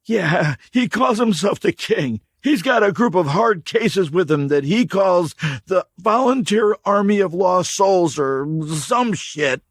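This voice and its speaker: A man's voice: sits high, animated, slow, slightly rough, dry recording, clean studio sound, pitched above the performer's own voice, heavily acted. A man voicing a greasy, scared drifter who stammers and whines defensively. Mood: nervous pleading.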